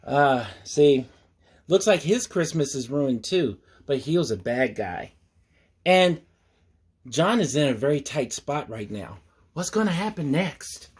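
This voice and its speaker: A man hosting storytime, reading a picture book aloud with mock-earnest asides in a measured storyteller's manner. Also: a little high, sing-song, breathy edge.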